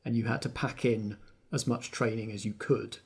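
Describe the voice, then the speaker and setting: A man with a gravelly, husky voice leads a tabletop roleplaying game. He improvises narration and puts questions to a player. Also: fairly low pitch, fairly even intonation, moderate pace, a little mellow. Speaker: game master.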